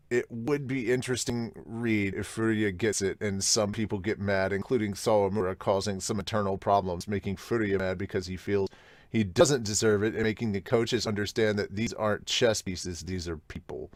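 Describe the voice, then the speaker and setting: A man co-hosting a casual manga reaction podcast, blunt and excitable, given to swearing and reading comments aloud. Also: lowish voice, normal speed, no room sound. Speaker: podcast co-host reviewing manga.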